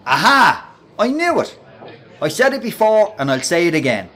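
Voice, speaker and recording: A cocky workplace bully in a radio play. His voice rides high and swings about freely, full of mockery and taunts. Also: sing-song, normal speed, fairly bright tone, heavily acted. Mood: jeering. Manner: taunting, mimics stammers. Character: loud, mocking male workplace bully. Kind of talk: radio drama acting.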